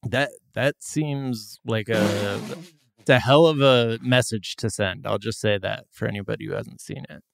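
A man hosting a podcast, chatting casually and telling stories with a wry, relaxed manner. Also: fairly low pitch, measured pace, creaky, no echo, clean studio sound. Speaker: podcast host. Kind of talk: casual chat.

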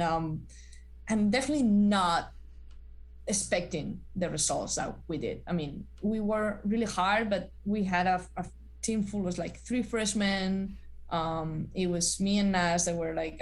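A woman in her thirties is recounting her college playing days in a relaxed interview. Her voice sits fairly low, and she often pauses, restarts and fills gaps with "like" and "um". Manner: halting, with many fillers and restarts. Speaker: guest, former college athlete. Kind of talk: casual interview storytelling.

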